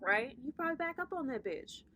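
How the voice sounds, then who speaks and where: A woman's voice: high voice, big pitch swings, fairly quick, pushed and bright, slight background noise. A woman in her thirties doing a casual tarot reading vlog as a spiritual coach, with a sassy, playful way of talking that drops swear words. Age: 30s.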